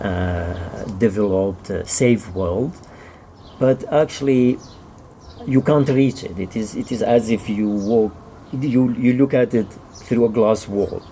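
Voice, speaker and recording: A middle-aged man with a Kurdish accent, a native Kurdish speaker, giving halting, conversational interview answers as he searches for words. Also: on the low side, gravelly, dark tone, slight background noise. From Iraqi Kurdistan. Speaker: author and publisher, interview guest.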